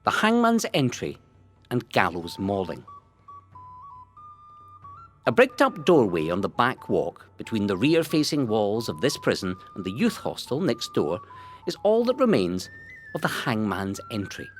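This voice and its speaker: A man reading scripted historical narration in a measured, dramatic storytelling way. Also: fairly low pitch, wildly swooping pitch. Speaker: narrator of a local history audio guide. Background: music.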